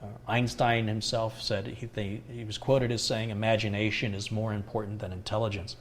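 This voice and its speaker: A middle-aged man talks in a calm, unhurried way, thinking aloud as he answers viewers on a live stream. His voice sits on the low side. A faint hum of room noise runs underneath. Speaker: tech livestream host answering viewer questions. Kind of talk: casual live Q&A chat.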